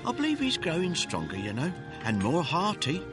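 An actor playing a gentle, cheerful family father and devoted husband in a radio drama, earnest and hearty. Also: fairly high pitch, wildly swooping pitch, moderate pace, music in the background. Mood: tender.

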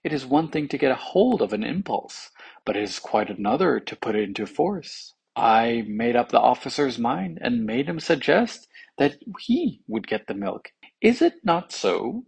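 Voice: mid-range voice, big pitch swings, normal speed, creaky, a little mellow, breathy edge, heavily acted. A storyteller voicing a self-important talking mind that smugly claims credit in a fable.

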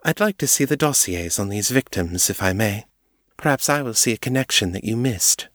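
A man's voice: on the low side, animated, moderate pace, fairly bright tone, no echo, studio quality, pitched above the performer's own voice. A man voicing a haughty, aristocratic female vampire who speaks in a polished, condescending way. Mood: composed. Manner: polished, formal, patronizing.

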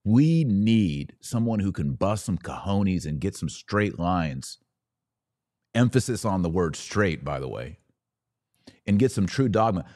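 A man's voice: sits low, expressive, measured pace, a little mellow, no echo, clean studio sound. A man hosting a podcast of opinionated commentary, with a mocking, sarcastic and emphatic delivery. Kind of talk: opinionated live commentary, reading aloud and reacting.

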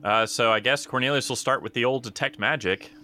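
A man's voice: mid-range voice, a little fast, sharp tone, soft background music. A man chatting casually as a player in a tabletop game, with a playful, jokey manner.

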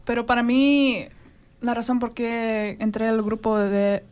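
A woman's voice: fairly high pitch, little pitch movement, a little slow, a little mellow. A young woman first-year student from Indiana giving brief answers in a group interview. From Indiana, USA. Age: young adult. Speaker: university student.

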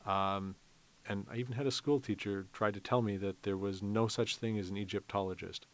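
A man's voice: fairly low pitch, steady tone, fairly quick. A man chatting casually as an interview guest, rambling a little as he explains. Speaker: festival organizer, interview guest.

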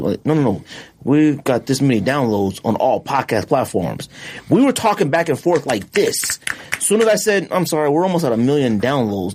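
A man hosting a podcast in casual chat, rambling and animated, his voice jumping high when he gets excited. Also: slightly rough. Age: adult, has a wife. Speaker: podcast host.

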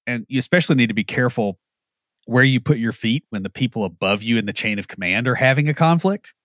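A man's voice: mid-range voice, expressive, fast talker, a bit bright, no echo, studio quality. A man co-hosting a podcast, giving blunt, wry opinions and anecdotes. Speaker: podcast co-host. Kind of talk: casual opinionated chat.

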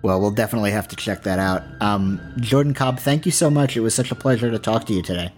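Lowish-voiced man hosting an interview. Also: quick pace, soft background music. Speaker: podcast interviewer, host.

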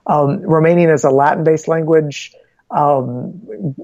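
A man's voice: on the high side, fairly even intonation, creaky, a little mellow, no echo. Earnest man explaining, dull dry sound. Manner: earnest, measured, building points step by step. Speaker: guest, Bible publishing ministry representative. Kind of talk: explanatory interview answers.

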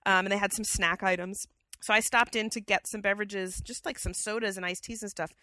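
A woman's voice: mid-range voice, fairly even intonation, fairly quick, sharp tone, dry recording. A woman co-hosting a casual podcast who has a cold and sounds slightly scratchy, telling chatty stories with fillers, on a headset mic. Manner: chatty storyteller, many fillers. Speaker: podcast co-host. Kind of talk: casual chat.

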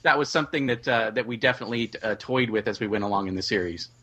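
Bright-voiced, low-pitched man talking shop. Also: fast talker, slightly rough. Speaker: audio drama writer and producer, panel guest. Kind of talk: casual panel discussion.

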